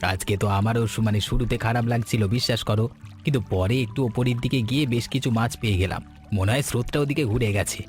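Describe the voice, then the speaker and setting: A man's voice: medium pitch, fast, faint music behind, close to an everyday voice. An actor playing a practical, encouraging younger village fisherman in conversational cartoon dialogue. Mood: matter-of-fact.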